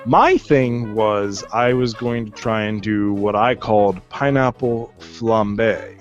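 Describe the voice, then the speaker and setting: A man's voice: lowish voice, slow pace, dull audio, soft background music. A man hosting a casual movie chat, telling a long story in a rambling way and leaning heavily on "like.". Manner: rambling storyteller, many "like" fillers. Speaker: host.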